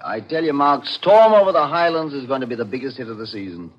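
An actor playing a hearty, confident theatrical producer in a 1940s radio mystery. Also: male voice, medium pitch, animated, fast talker, natural voice. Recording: dull old radio recording.

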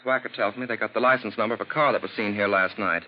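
An actor playing a businesslike district attorney in a 1940s radio drama, formal, curt and practical. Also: male voice, lowish voice, fast, close to an everyday voice. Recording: dull, noisy vintage radio recording.

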